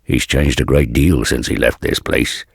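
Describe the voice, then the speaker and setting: Kindly old blacksmith, dark fantasy game. Extremely deep, gravel-throated and husky, archaic folksy speech, recorded close and dry. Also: male voice, fairly even intonation, no echo, full character voice. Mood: warm, sombre. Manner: slow, archaic, folksy phrasing. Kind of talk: dark fantasy video game character dialogue.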